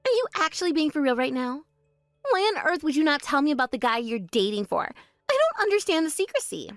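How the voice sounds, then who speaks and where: A bratty younger sister who brags and sneers, her high voice leaping all over the place, as a woman acts her out. The recording is dry and close, with no room sound. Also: very animated, fairly quick, dry recording, faint music behind. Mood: indignant. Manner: mocking, spiteful bragging. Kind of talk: voice drama, one performer.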